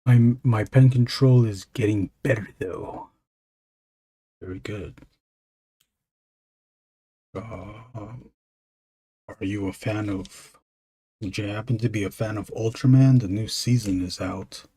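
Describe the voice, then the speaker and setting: A low, husky male voice with a dark, mellow tone. He chats slowly and loosely with his stream viewers while he works, trailing off and circling back to questions. It sounds like unhurried late-night live-stream talk. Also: poor audio. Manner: rambling, talks to chat readers. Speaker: illustrator streaming his drawing. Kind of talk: casual live-stream chat while drawing.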